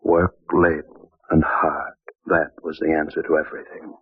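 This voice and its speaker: An actor playing a brooding, guilt-haunted male chemist in an old-time radio horror drama, speaking in halting, repetitive monologue. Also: a bass voice, level tone, phone-quality sound, slight background noise.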